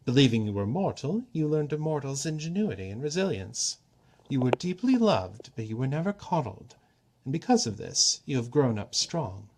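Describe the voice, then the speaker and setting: Roguish storybook trickster god, played by a male reader, raised pitch, grand, measured, persuasive delivery. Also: very animated, darkish tone, slight background noise. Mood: earnest. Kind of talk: storybook character reading.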